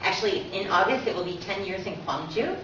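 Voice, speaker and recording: A woman's voice: medium pitch, expressive, fairly quick, a bit bright. Woman giving a talk in an echoey room. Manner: conversational, explanatory, often tags points with "right?". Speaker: co-presenter, university teacher. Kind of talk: informal public lecture. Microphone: distant from speaker. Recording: echoey room, distant muffled mic, faint noise.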